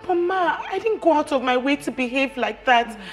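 An actress playing a meek, distressed waitress with a Nigerian accent, speaking apologetically in a screen drama. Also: female voice, high-pitched, animated, moderate pace, faint music behind. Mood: desperate pleading. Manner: pleading, apologetic. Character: meek, distraught young waitress, pleading with her superiors. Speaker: restaurant employee.

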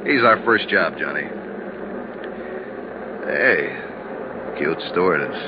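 A big, blustery insurance man in an old radio serial. His voice is on the low side, chummy and folksy. The recording is muffled, with clear noise over it. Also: animated, slow pace. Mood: confiding. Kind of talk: 1950s radio drama acting. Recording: noisy, dull 1950s radio recording.

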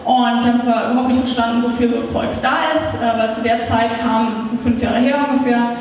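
A woman's voice: on the high side, fairly quick, a little hiss or hum. A woman, giving a chatty conference talk as a startup founder into a handheld mic, with lots of filler sounds. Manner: lively, chatty, many fillers. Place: meetup hall, indoors, on stage. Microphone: handheld microphone. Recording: echoey hall, taped from far off.

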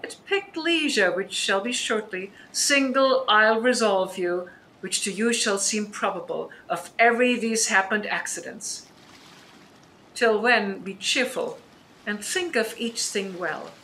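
A woman playing a composed, commanding sorceress in a Shakespearean radio play, delivering verse with measured authority. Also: medium pitch, bright, forceful tone, low-quality recording. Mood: assured. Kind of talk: Shakespearean radio drama acting. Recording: faint noise behind online recording.

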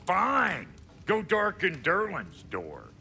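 An actor playing a crude, cranky, foul-mouthed dwarf blacksmith with a gruff, folksy, blustering way of talking. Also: male voice, sits high, huge pitch swings, slow, bright, forceful tone, a little hiss or hum, exaggerated voice. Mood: scornful.

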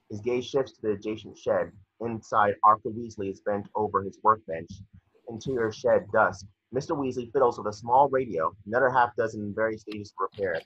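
A man's voice: fairly low pitch, bright, forceful tone, breathy edge, no echo. A young man reading screenplay stage directions as scripted narration, on dull video-call audio. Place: indoor room at home, on a video call.

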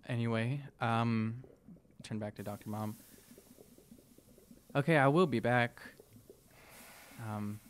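A man's voice: mid-range voice, slow, long pauses, slight background noise. Hesitant young man playing a tabletop game. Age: young adult. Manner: hesitant, casual. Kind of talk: casual improvised roleplay. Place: small studio room indoors. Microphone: microphone on stand.

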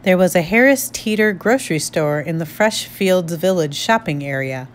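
A woman's voice: on the low side, moderate pace. A woman reading an evenly paced voice-over recap. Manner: even, read-aloud recap. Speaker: vlog narrator. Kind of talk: scripted voice-over narration. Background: soft music.

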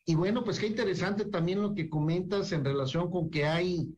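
Man, radio host, measured reflective delivery, a bit high-pitched. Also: a little mellow, dull audio, a little hiss or hum. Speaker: radio talk show host. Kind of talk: radio interview.

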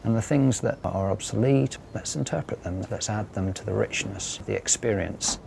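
A man's voice: fairly low pitch, moderate pace, creaky, darkish tone, slight background noise. A man, an architect, speaking in a documentary interview, sometimes searching for his words.

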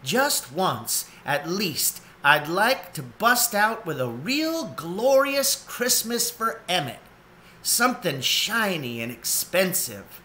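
A man's voice: high-pitched, very animated, slow pace, sharp tone, slight background noise, voice pushed up, exaggerated voice. A man voicing a weary, hopeful mother otter in a storybook, earnest and plain-spoken. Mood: longing.

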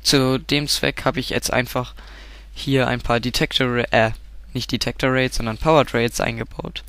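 A man's voice: mid-range voice, level tone, moderate pace, fairly bright tone, smooth, no room sound. A man with a stuffy cold nose, giving a casual game tutorial, rambling and often correcting himself. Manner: rambling, self-correcting, frequent filler sounds.

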